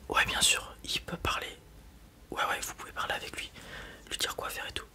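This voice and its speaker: A person doing a softly whispered, hesitant and unhurried ASMR roleplay as a salesperson. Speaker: ASMR roleplayer acting as a wandering space robot salesperson.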